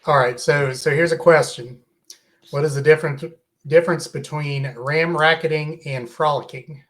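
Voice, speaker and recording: Joking podcast man, halting starts. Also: on the high side, fairly even intonation, a bit bright. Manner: joking, repeats words as he starts. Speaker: podcast co-host. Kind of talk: casual podcast chat.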